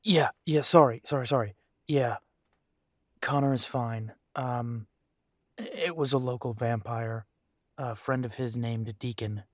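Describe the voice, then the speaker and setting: A man performs an earnest, protective werewolf pack leader in an audio drama. He talks slowly, pausing and hesitating as he weighs his words. The sound is dry and close, with no room around it. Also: mid-range voice, no room sound, close to an everyday voice. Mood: apologetic. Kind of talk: audio roleplay acting.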